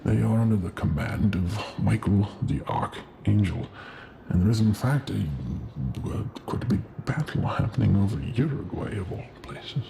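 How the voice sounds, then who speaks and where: A man playing Death as a courteous, gentle figure in a soft-spoken ASMR roleplay, formal, halting and politely deadpan. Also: on the low side, gravelly, a little hiss or hum. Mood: solemn, matter-of-fact.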